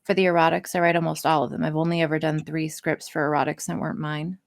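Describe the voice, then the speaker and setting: A woman's voice: deep voice, level tone, quick pace, vocal fry. A woman chatting casually as a podcast guest, candid, enthusiastic and free with swearing. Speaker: podcast guest, audio script writer and performer.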